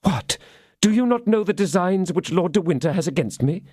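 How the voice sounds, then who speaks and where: A man's voice: sits high, expressive, moderate pace, darkish tone, slightly rough, no room sound, clean studio sound, voice pushed up. A man voicing a scheming woman prisoner who pleads and prays in feigned, sobbing despair. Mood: pleading.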